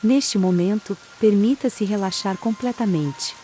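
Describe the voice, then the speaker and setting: A woman with a Brazilian accent calmly guides a relaxation meditation, reading in a soothing, unhurried manner with pauses between phrases. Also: mid-range voice, slight background noise. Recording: steady rain sound behind the voice. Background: soft rain.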